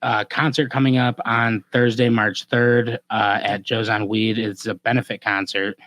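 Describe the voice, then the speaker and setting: A man's voice: fairly low pitch, flat delivery, normal speed, a bit bright, dry recording. A man hosting a casual interview, talking informally with loose, hedging phrasing. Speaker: podcast host.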